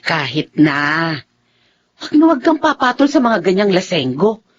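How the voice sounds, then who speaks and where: Fretting wife and mom, husky, up-and-down scolding tone, radio drama, slightly muffled. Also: female voice, lowish voice, sing-song, moderate pace. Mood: worried scolding. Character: worried, scolding wife and protective mother. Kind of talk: Filipino radio drama acting. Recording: dull, muffled audio.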